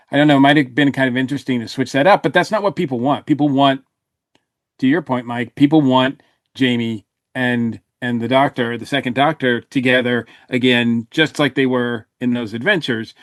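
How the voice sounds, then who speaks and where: A man with a halting, circling way of talking, giving his take as a panelist in a casual review. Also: mid-range voice, no echo, studio quality. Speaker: podcast panelist reviewing audio drama.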